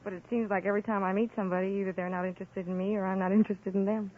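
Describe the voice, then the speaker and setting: A woman's voice: mid-range voice, level tone, very fast. An American woman from San Francisco answering as a quiz show contestant in an earnest, straightforward way. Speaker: quiz show contestant, hospital diet worker. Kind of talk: conversational interview answers. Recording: old radio broadcast, tinny, faint noise.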